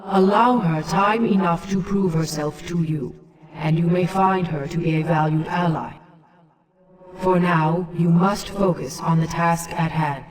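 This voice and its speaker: A woman's voice: lowish voice, steady tone, unhurried, far from the mic. A woman playing a stern, regal alien matriarch in a video game, speaking formally with echo on her voice. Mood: solemn counsel. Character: stately, stern alien matriarch, wise elder ruler. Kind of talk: scripted video game voice acting.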